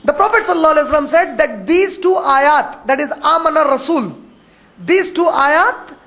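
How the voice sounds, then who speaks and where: Very high-voiced man teaching Quran. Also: animated, pushed and bright. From India. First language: Urdu. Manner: measured delivery, rushing through honorific phrases. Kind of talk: religious lecture.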